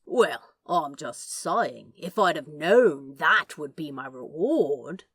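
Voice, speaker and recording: Cheeky male demon played by a woman, low and unhurried, slangy teasing drawl, flirtatious, for a storybook. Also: animated, fairly bright tone, breathy edge, no echo, full character voice.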